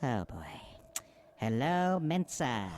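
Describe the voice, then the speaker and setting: A sardonic talking cat, acted by a woman for a radio play. The voice is extremely low for a woman and swings wryly in pitch. It is dry and unimpressed, a witch's familiar with no patience for fools. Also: very animated, slow, a little mellow, a little hiss or hum, full character voice. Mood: dry, sarcastic. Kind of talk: radio-play character acting.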